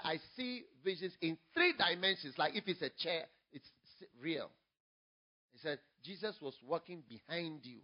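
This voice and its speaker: A man with a Ghanaian English accent, a pastor preaching a sermon, moving from quiet storytelling to loud, emphatic preaching. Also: a little high, dull audio.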